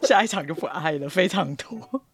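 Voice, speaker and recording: A woman chatting casually on a podcast in a wry, self-mocking way. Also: mid-range voice. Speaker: podcast participant, author. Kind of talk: casual chat.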